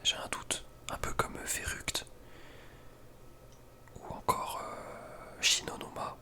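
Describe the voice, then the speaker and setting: A man's voice: slow pace, bright, forceful tone. A young man whispering casual ASMR gaming commentary in an informal, slangy, hesitant way. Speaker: ASMR video creator.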